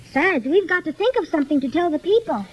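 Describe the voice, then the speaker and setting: A very high, piping voice plays an eager little girl in old-time radio drama, earnest and emphatic in her delivery. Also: animated, fast, muffled recording. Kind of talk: 1930s children's radio serial acting.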